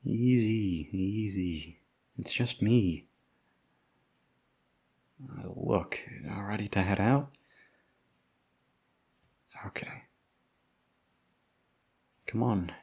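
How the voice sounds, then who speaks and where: A man playing a tough, protective, foul-mouthed bodyguard with a husky voice in an ASMR audio roleplay. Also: lowish voice, darkish tone, heavily acted. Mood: gentle, weary. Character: tough protective male bodyguard, foul-mouthed, tender toward his charge.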